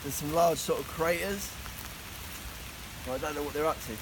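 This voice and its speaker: A man with a slightly high voice chats in an easygoing, knowing way. He is outdoors in woodland. Steady rain is clearly audible around him. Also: normal speed. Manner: easygoing, knowing local talk. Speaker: local passer-by. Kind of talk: casual chat. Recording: rain noise.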